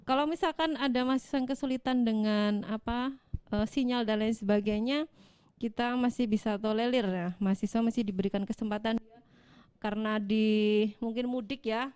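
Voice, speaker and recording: Woman lecturer explaining things calmly on a talk show, mellow voice, dry sound. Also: medium pitch, clean tone, no echo. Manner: calm explaining, with light jokes. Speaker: midwifery lecturer, guest.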